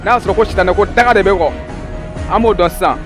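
A young man delivers playful, chant-like game patter. His voice is high and he talks very quickly. He is outdoors, with music behind him. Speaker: co-host of street game. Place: outdoors in urban courtyard. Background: music, crowd.

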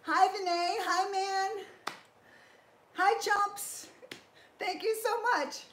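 A woman's voice: very high-pitched, steady tone, very slow, fairly bright tone, slight background noise. A middle-aged woman hosting a casual fitness livestream from her garage home gym, upbeat as she thanks viewers and explains her training step by step. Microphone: fixed camera at a few feet. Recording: echoey garage room.

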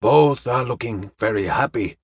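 A man's voice: mid-range voice, normal speed, slightly rough, dry recording, clean studio sound. A man playing a courteous, wise Chinese detective in an audiobook, speaking formal, stilted English with dropped articles. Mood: courteous, measured.

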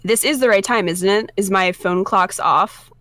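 A woman streamer reading a visual novel aloud and adding wry, sassy commentary with animated reactions. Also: mid-range voice, moderate pace, no room sound. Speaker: game streamer reading along. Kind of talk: let's play, reading aloud with joking commentary. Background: game music.

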